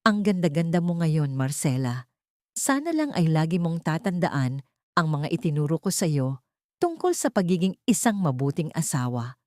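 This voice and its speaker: Female storyteller playing haughty elderly matriarch: controlling, lecturing, sugary on the surface, lower register. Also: big pitch swings, normal speed, a little mellow, no echo, studio quality. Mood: sweetly condescending. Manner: condescending, lecturing. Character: haughty elderly mother-in-law, falsely sweet and controlling.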